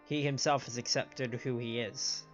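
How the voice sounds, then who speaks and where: A man's voice: mid-range voice, steady tone, moderate pace. A man giving casual live commentary as he streams a game. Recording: dull band-limited stream audio, music behind.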